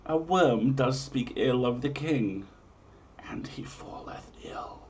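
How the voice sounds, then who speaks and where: A man's voice: mid-range voice, takes it slowly, darkish tone, slight background noise. Theatrical man reading to an audience. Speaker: livestream host and reader. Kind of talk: dramatic comic reading in mock-medieval English, then casual chat.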